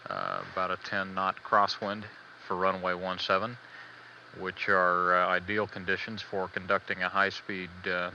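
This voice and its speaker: A man giving live spaceflight status commentary as a mission control announcer, measured, hesitant and matter-of-fact, on old band-limited broadcast audio. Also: sits low, bright, forceful tone, muffled recording, a little hiss or hum.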